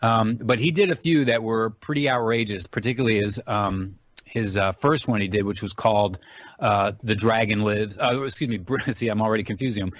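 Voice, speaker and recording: A man's voice: on the low side, fairly quick, dry recording. A man giving a casual interview as a guest, explaining things in a rambling, amiable way. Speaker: interview guest, filmmaker and author.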